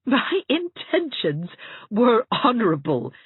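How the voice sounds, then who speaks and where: A narrator voicing a sensible young woman who speaks in a brisk, dryly scolding way, with a tinny, dry sound. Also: mid-range voice, animated, husky, dry recording. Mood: amused.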